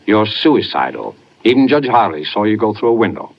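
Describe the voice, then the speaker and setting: A cold villain in a butler's guise from a 1950s radio play. He is formal and menacing, with a lowish voice that moves widely in pitch. The old recording sounds muffled. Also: sing-song, a little fast, vocal fry. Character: cold, commanding male villain posing as a polite butler. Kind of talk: 1950s radio drama acting.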